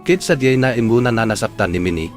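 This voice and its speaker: A man's voice: mid-range voice, lively intonation, fast, soft background music. A man with an Ilocano Filipino accent hosting and narrating a sentimental radio drama in an earnest, emphatic way.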